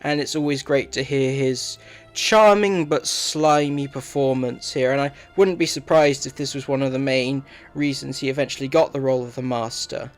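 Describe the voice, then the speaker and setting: A man's voice: mid-range voice, fairly even intonation, normal speed, soft background music. A man giving a scripted review narration as a reviewer.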